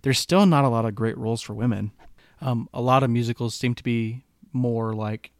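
A man's voice: mid-range voice, moderate pace, dry recording, studio quality. Easygoing man podcaster, filler-heavy talk. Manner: relaxed, filler-heavy, seeks agreement with "right?". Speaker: podcast co-host. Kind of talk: casual chat.

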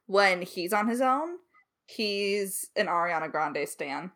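Woman, mid-range pitch, playful, emphatic, casual podcast co-host chat. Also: expressive, takes it slowly, a bit bright.

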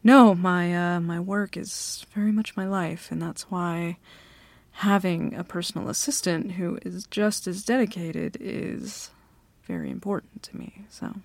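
A woman playing a bossy female CEO who interviews an applicant in a flirty ASMR roleplay, talking softly with many hesitant fillers and a teasing edge. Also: fairly low pitch, steady tone, measured pace, vocal fry, no echo.

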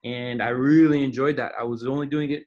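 A man's voice: mid-range voice, steady tone, brisk, a little mellow, highs cut off. A man from Miami, a soccer coach, answering interview questions thoughtfully with frequent restarts and fillers. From Miami, Florida.

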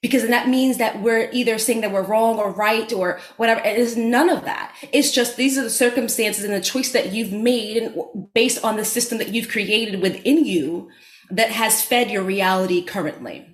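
A woman's voice: fairly high pitch, moderate pace, echoey room. A woman hosting a podcast, talking in an earnest, reflective way. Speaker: podcast host. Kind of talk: casual podcast chat.

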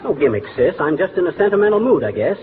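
A tinny, hissing old radio broadcast carries a boastful middle-aged husband. His voice is fairly high, with glib, folksy self-importance and 1940s comedy timing. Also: big pitch swings, fast, a crowd in the background. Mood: sentimental, folksy.

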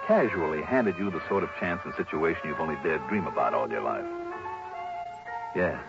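A wry American investigator from a classic radio drama, conversational and emphatic, in a fairly low voice. He sounds like a seasoned first-person storyteller. The recording is muffled old radio, with clear music behind. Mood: suspicious, narrating. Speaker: lead actor and narrator. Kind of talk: 1950s-60s American radio detective acting, first-person narration and dialogue.

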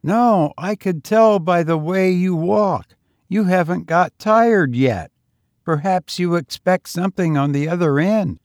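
Male reader as a husky, frail boy tramp; raised pitch, louder, dry. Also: a little slow, no room sound. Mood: pleading. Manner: eager, talkative, fatalistic. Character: frail teenage boy tramp, coughing and hoarse.

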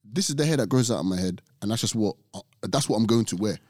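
A young man co-hosting a podcast, chatting casually and jumping in with quick, animated remarks. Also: fairly low pitch, no room sound, studio quality. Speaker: podcast co-host. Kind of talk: casual chat.

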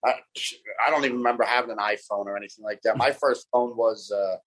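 A man of twenty-four from South Philadelphia, a podcast guest talking in a relaxed, easygoing way, often saying "you know". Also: fairly low pitch, fast, low-quality recording. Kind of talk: casual interview.

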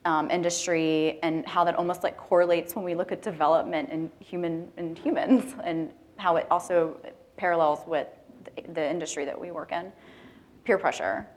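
A woman's voice: on the low side, fairly even intonation, normal speed. A woman moderating a panel discussion in a chatty, informal way, teasing as she goes.

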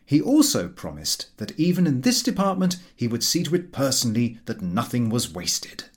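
A man reading a comic novel aloud as a narrator, expressive and drily humorous. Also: mid-range voice, normal speed. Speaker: audiobook narrator.

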